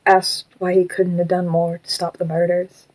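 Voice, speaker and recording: A woman as a mellow, troubled animatronic spirit. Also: fairly low pitch, monotone, lightly acted, rough recording. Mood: troubled, reflective. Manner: hesitant, halting, reflective. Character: haunted animatronic fox, gentle, troubled, once human. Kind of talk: audio drama acting.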